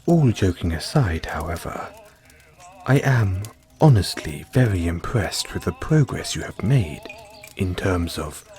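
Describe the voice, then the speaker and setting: A man with a British accent playing a formal, devoted butler who gently chides his young master in an audio roleplay. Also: deep voice, big pitch swings, soft background music. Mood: approving, formal. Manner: formal, measured, deferential. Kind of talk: scripted roleplay, intimate second-person address.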